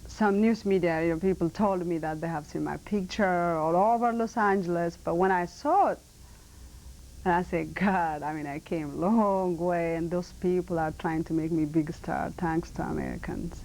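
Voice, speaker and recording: A woman's voice: lowish voice, steady tone, a little mellow, low-quality recording. Ethiopian-accented woman, earnest and emphatic. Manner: earnest, emphatic, with halting non-native phrasing. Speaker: model and actress. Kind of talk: TV interview. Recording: 1990 TV broadcast.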